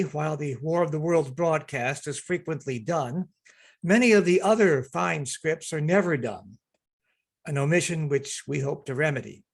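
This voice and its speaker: A man's voice: fairly high pitch, creaky. A man acting as director and announcer, introducing and narrating a radio play reading over a video call. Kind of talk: spoken introduction and scripted narration. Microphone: video call microphone.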